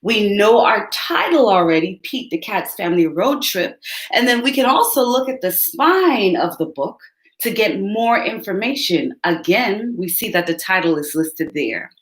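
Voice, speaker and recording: A woman's voice: medium pitch, very animated, unhurried, a bit bright. A woman reading a picture book aloud to young children, turning to ask her listeners questions along the way. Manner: speaks directly to young listeners, asks them questions. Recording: roomy echo.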